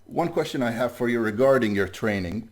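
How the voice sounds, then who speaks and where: A man hosting a podcast, asking questions in a casual, friendly way with a bassy voice. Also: expressive, a little fast. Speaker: podcast host. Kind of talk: casual interview.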